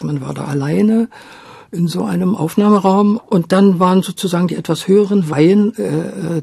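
A woman over seventy reminiscing as an interview guest, thinking aloud in a halting, thoughtful way. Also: lowish voice, vocal fry, dark tone, slightly rough, dry recording. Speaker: interview guest, radio drama director.

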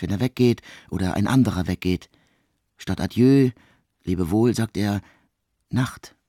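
A man playing a brooding intellectual composer in a radio play, husky, speaking in deliberate, formal musings with detached irony. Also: fairly low pitch, darkish tone. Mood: fond, observant. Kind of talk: radio play dialogue and literary recitation.

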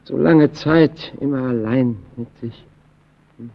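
An actor playing a lonely, wry, quietly defiant man in a German radio drama, on a muffled old recording. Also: medium pitch, slow, creaky. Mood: tender, confiding.

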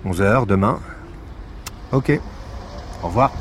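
Tired police investigator, low pitch, halting, understated, dry-humored, audio drama, some background noise. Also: male voice. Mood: awkward, polite. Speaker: actor in a fiction podcast. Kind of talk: naturalistic audio drama dialogue.

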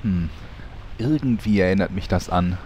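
Deep-voiced male narrator. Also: moderate pace, heavy background noise. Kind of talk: scripted narration.

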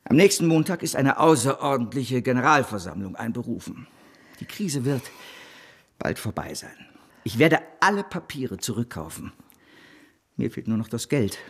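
Cornered bank boss in radio drama, rough husky voice, super assured, justifies himself. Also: male voice, mid-range voice, very animated, normal speed. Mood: stubborn confidence. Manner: assured, persuasive, unrepentant. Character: defiant, self-justifying bank director facing ruin.

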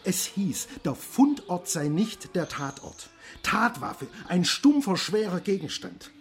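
A man's voice: high-pitched, big pitch swings, takes it slowly, soft background music, exaggerated voice. An actor playing a distraught middle-aged father in a radio play, agitated, faltering and full of complaint. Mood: shaken, agitated.

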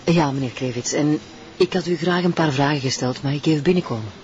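A woman's voice: deep voice, quick pace, music in the background, lightly acted. A woman with a Flemish accent playing a confident, teasing, fearless investigator in naturalistic TV drama dialogue. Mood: reassuring. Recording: dull lo-fi TV soundtrack.

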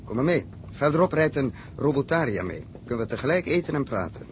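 An actor from the Netherlands, with a Dutch accent, playing a steady pilot and crew leader in a 1960s science fiction radio drama, explaining briskly. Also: male voice, mid-range voice, slight background noise, lightly acted. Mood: brisk, explanatory.

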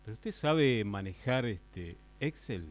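A man with an Argentine accent playing a forgetful, exasperated office boss in a radio play, speaking haltingly and repeating himself. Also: fairly low pitch, expressive. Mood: doubtful. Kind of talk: improvised radio play acting.

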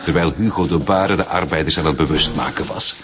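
A man's voice: a bass voice, fast. An actor playing a drunken, taunting, cynical Flemish schemer in a radio drama, mocking and gloating as he talks. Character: drunken, taunting man, cynical schemer after a woman's money.

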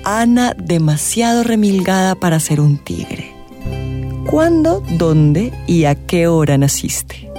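A woman's voice: lowish voice, very animated, heavily acted. An actress playing an eccentric, gushing and flirtatious witchy astrologer in a radio drama, with dramatic, suspenseful phrasing. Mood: brisk, mysterious. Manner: dramatic, suspenseful drawn-out delivery with whispers. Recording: music playing behind the voice.

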